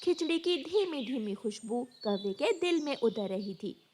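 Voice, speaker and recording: A woman's voice: fairly high pitch, animated, a little slow, a little mellow, slight background noise. A woman reading scripted narration for a children's cartoon story.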